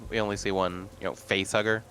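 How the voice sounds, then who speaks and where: Low-voiced man playing a tabletop game. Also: flat delivery, fast, a bit bright, slight background noise. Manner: hesitant, wry, thinking aloud. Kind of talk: casual game chat.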